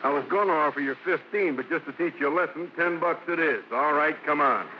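Man acting a cocky young vaudevillian, high-voiced, noisy recording. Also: fairly quick, close to an everyday voice. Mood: sizing up, brusque. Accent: American. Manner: slangy, breezy showman patter. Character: brash, cocky young male vaudeville singing comedian. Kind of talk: 1940s-50s American radio acting. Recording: dull, very noisy old radio broadcast.

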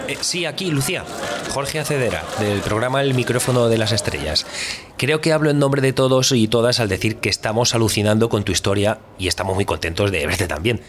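A man's voice: fairly low pitch, expressive, brisk, a little hiss or hum, close to an everyday voice. A man playing a friendly, admiring radio reporter asking a question in an audio drama.

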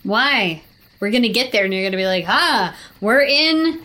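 A woman's voice: on the high side, lively intonation, moderate pace, sharp tone, voice pushed up. A woman playing a nagging, cheeky young male recruit in a tabletop game, questioning and talking back. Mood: impatient, nagging. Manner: pestering, sarcastic back-talk.

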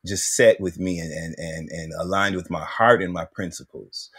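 A man's voice: low voice, moderate pace, fairly bright tone, breathy edge. A man co-hosting a podcast, gushing and playful, who backs up his co-host with many affirmations. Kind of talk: casual podcast chat.

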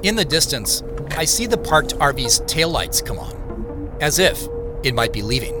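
A man's voice: mid-range voice, big pitch swings, moderate pace, over music, close to an everyday voice. An actor playing an uneasy, suspicious man, hesitant and guarded, in a naturalistic audio drama. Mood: tense narration. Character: Joseph, uneasy suspicious man on a phone call. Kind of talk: naturalistic audio drama acting with first-person narration.

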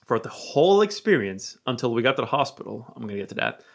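Young guy from romania, american accent, chatty storyteller, swears a lot, goes quiet and mumbly quoting himself. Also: fast, gravelly. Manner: animated, swears freely, drops to a low murmur when quoting himself. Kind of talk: casual storytelling.

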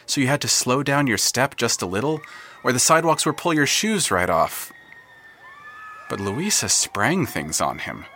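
Male narrator, very expressive mid-range pitch, wry dramatic storytelling, audio fiction delivery, clear ambient noise behind. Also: moderate pace. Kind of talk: scripted literary story narration.

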